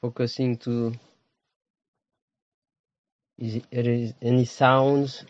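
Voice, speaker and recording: Low-voiced man guiding a meditation. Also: level tone, no room sound, lo-fi sound. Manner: slow, pausing, short phrases. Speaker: meditation teacher. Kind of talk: guided meditation.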